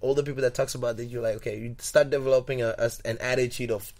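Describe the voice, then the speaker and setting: A man's voice: mid-range voice, fairly even intonation, fast, darkish tone. Man, from Togo, young adult, podcast guest, rambling, reflective, repeats himself. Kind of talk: casual interview.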